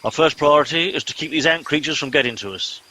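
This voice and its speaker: A man playing a pompous middle-aged captain in a comedy radio play, brisk, officious and commanding. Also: fairly high pitch, sharp tone, rough recording.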